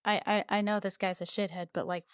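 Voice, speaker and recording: A woman's voice: mid-range voice, flat delivery, brisk, clear, resonant tone, dry recording, clean studio sound. A woman casually improvising as a player in a tabletop game, with an informal, conversational manner.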